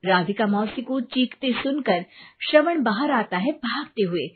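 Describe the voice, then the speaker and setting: A woman's voice: fairly high pitch, expressive, measured pace, husky, narrow, tinny audio. Woman storyteller narrating a scripted tale.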